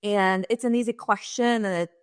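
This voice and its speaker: A woman's voice: mid-range voice, steady tone, moderate pace, clear, resonant tone, dry recording. Woman, forties, from Russia, interview guest, thoughtful, dry room. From Russia and Kazakhstan. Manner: thoughtful, laughs lightly between points. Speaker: guest, leadership strategist. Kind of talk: interview conversation.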